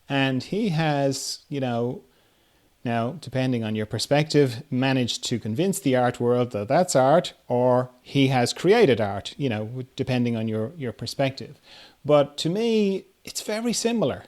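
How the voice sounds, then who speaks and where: Halting, casual male interviewer. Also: mid-range voice, animated, darkish tone. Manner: halting, circles toward his question. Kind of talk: casual conversation.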